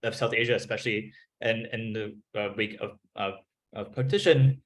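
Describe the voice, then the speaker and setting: Guy thinking out loud on a video call, lots of restarts, academic. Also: lowish voice, fairly even intonation. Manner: searching, halting with many restarts. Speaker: panelist. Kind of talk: academic discussion.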